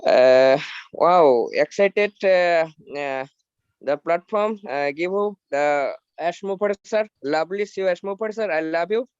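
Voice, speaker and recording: A man from Bangladesh speaking haltingly in broken English on a video call, with many fillers. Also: fairly high pitch, clear, resonant tone, dry recording. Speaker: webinar participant. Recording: muffled lo-fi call audio.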